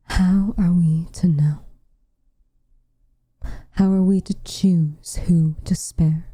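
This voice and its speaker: A woman plays a protective, proud witch with a lowish and very dark, soft voice. She speaks slowly and formally, with dramatic weight, and often sinks into a breathy whisper as in an intimate ASMR roleplay. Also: fairly even intonation, no room sound, clean studio sound. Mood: bitter, weary. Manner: formal, measured, dramatic delivery. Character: protective, proud, frustrated witch, tender toward her lover.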